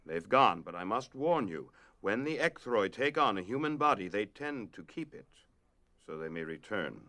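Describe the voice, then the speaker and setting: A man's voice: lowish voice, big pitch swings, moderate pace, pushed and bright, full character voice. A man playing a grave otherworldly guardian with a formal, authoritative manner in an audio drama. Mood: grave, urgent.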